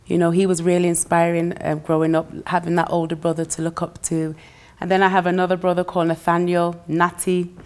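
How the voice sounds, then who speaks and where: A woman's voice: fairly low pitch, little pitch movement, brisk. A woman from England, chatting as a guest musician and laughing easily between phrases. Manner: warm, chatty, laughs between phrases, uses "you know" a lot. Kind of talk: relaxed interview chat.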